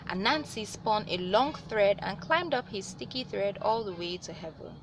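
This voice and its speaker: A woman reading a story aloud as host, with animated delivery and dramatic, drawn-out emphasis on words. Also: medium pitch, background noise. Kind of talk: expressive read-aloud storytelling.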